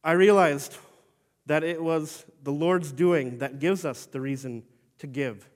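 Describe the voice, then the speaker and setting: A man playing the saint as an elderly, humble but stern bishop, telling his own story slowly and formally in a church monologue. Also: fairly high pitch, in a large hall, close to an everyday voice. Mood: earnest. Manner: slow, solemn storytelling with formal phrasing. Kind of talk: first-person dramatic monologue.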